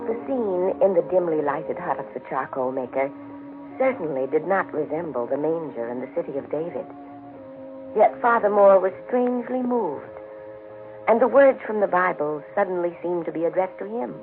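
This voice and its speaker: A man telling a story in solemn 1940s radio narration, with reverent, measured, formal phrasing. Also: on the high side. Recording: tinny 1940s radio broadcast with music behind. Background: music.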